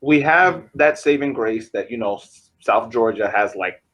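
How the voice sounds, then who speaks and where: A man with a husky, slightly raspy voice co-hosting a sports podcast. He talks in a casual way, circles back and restarts his sentences as he builds an argument. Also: medium pitch, animated, a little slow, fairly bright tone, highs cut off. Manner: hesitant, restarts often while building an argument. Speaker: podcast co-host.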